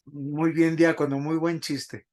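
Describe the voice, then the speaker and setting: Higher-voiced man teaching a Bible class. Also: little pitch movement, slow, darkish tone. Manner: didactic, asks the congregation questions. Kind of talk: Bible teaching sermon with questions to the congregation. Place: church.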